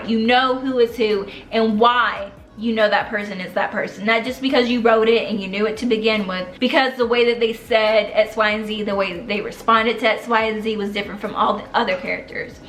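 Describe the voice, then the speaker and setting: A woman's voice: on the high side, fairly bright tone. A young adult woman giving writing tips in a casual, rambling way, full of slang. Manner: chatty, rambling, uses slang. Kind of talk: casual talk to camera. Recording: echoey room, faint noise.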